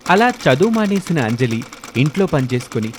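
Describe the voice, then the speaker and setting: A man's voice: fairly high pitch, big pitch swings, fairly quick, noisy background. A man narrating a scripted moral story as its storyteller.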